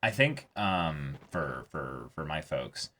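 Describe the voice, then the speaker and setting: Low-voiced man, thoughtful casual co-host. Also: animated, takes it slowly, pushed and bright. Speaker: co-host of an anime reaction show. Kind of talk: casual discussion of a show.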